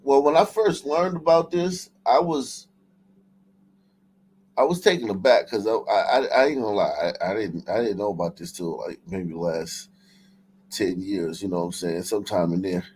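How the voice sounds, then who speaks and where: A man's voice: on the low side, normal speed, darkish tone, poor audio. A man hosting a livestream in casual, profane chat, often repeating and restarting his phrases. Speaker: livestream host. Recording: clear background noise.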